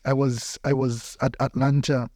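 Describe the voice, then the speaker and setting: A man's voice: mid-range voice, little pitch movement, measured pace, darkish tone, no echo, studio quality. A man from Belize with a Belizean accent, a pastor telling personal stories as a podcast guest, emphatically repeating phrases for effect. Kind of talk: personal storytelling in conversation.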